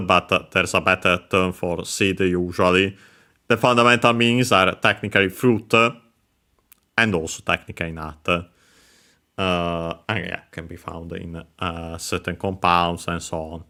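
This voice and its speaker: Low-voiced guy teaching japanese words, says uh a lot, crisp bright tone. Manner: hesitant, many filler sounds, careful explanation. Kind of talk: explaining grammar over gameplay.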